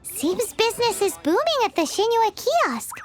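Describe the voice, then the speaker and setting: A woman voicing a tiny, childlike, cheeky and excitable fairy companion in a video game, with a squeaky voice and dramatic manner. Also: unhurried, soft background music, full character voice. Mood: cheeky, pleased. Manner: cheeky, dramatic, speaks of self in third person. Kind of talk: video game character dialogue.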